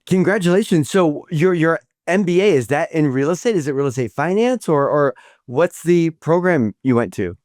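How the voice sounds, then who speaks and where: A man hosts a podcast interview, his voice a little higher than most men's. He asks his questions haltingly, stumbling and restarting often. The recording is close and dry. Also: animated, darkish tone, no room sound. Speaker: podcast host.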